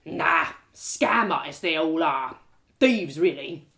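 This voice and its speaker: Gruff castle guard played by a woman: contemptuous bully, rough grammar, loud and lively, storybook villain. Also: sits low, takes it slowly, full character voice. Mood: scornful.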